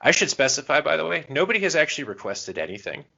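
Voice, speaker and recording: A man's voice: on the high side, sing-song, fast, creaky, bright, forceful tone, muffled recording. A man co-hosting a podcast in casual chat, bantering in a jokey, self-deprecating way. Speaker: podcast co-host.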